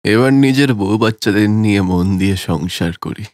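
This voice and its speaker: A man's voice: fairly low pitch, normal speed, darkish tone, no room sound, studio quality, natural voice. A man voicing a newly retired, forgetful grandfather in a cartoon story, bewildered and coaxing. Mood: resolved, soft. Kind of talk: cartoon story character acting.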